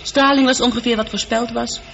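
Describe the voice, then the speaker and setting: A female ship's computer for a radio drama, high in pitch and exact in wording. She is witty and caring, with easily bruised feelings. The old recording is dull, with faint noise behind it. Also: steady tone, brisk, gravelly, a bit bright. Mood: calm, gently teasing. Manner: precise, composed, teasing. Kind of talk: scripted radio play acting.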